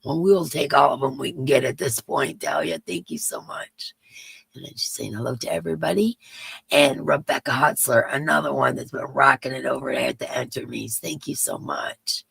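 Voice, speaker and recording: A woman with a raspy voice hosting a casual livestream in her yarn room, greeting chat viewers by name in a sing-song way and sounding emotional. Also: extremely low pitch, moderate pace, fairly bright tone, dry recording. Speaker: livestream host.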